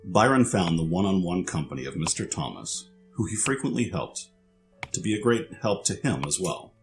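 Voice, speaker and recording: Very deep male story narrator. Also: sing-song, moderate pace, over music.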